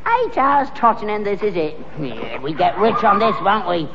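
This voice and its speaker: A man's voice: high voice, very animated, normal speed, gravelly, heavily acted. A grumbling old rag-and-bone man. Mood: sour. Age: older. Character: grumpy elderly male rag-and-bone man, a scornful nagging father. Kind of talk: 1960s radio sitcom acting. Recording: dull, hissy 1960s radio broadcast with a roomy reverb.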